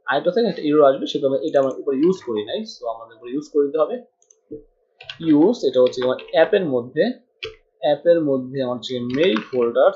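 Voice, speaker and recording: A man's voice: medium pitch, darkish tone. Man talking through code, lo-fi sound. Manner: thinks aloud while typing, mixes English terms. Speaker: programming tutor. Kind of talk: screen-recorded coding tutorial. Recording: dull lo-fi sound.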